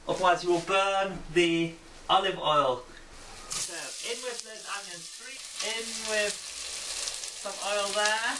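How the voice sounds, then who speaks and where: A man giving a comic cooking demonstration with a deadpan, mock-pompous manner. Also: high-pitched, background noise. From England. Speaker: home cook. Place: home kitchen. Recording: distant mic, loud kitchen sizzle. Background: frying food sizzling.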